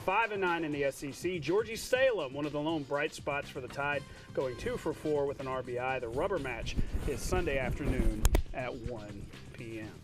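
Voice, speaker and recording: A man co-anchoring a TV sportscast, narrating highlights in an informal, jokey way with playful banter. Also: moderate pace, far from the mic, over music. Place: TV studio.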